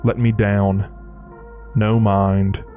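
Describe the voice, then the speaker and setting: A man plays a mournful, doomed figure in an analog horror tale. The voice is low and dark, with a distorted effect. It chants fatalistic verse slowly over faint music. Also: little pitch movement. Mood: mournful, hopeless. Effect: distorted, reversed-speech horror processing.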